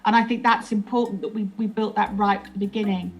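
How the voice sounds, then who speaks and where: A woman's voice: mid-range voice, steady tone, fast talker, faint music behind. A woman in an interview, talking earnestly about training storytellers, often restarting her sentences. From England. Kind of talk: reflective interview answer.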